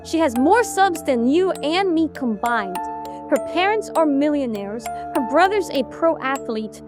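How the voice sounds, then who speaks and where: A woman acting as a blunt, high-voiced young idol. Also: animated, measured pace, over music. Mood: resentful. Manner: blunt, sarcastic, swearing. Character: resentful, sardonic young woman idol, bossy with friends. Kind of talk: animatic audio drama acting.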